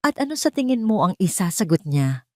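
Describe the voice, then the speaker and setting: A woman voicing a devoted, supportive man in love, gentle and sincere, in an audio drama. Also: medium pitch, sing-song, a little fast, no room sound, studio quality, close to an everyday voice. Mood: tender, sincere.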